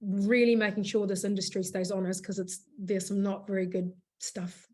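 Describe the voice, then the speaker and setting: A woman with a hoarse voice, a business founder chatting in an interview, rambling with many fillers and restarts. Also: mid-range voice, level tone, moderate pace, mellow. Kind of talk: casual interview chat.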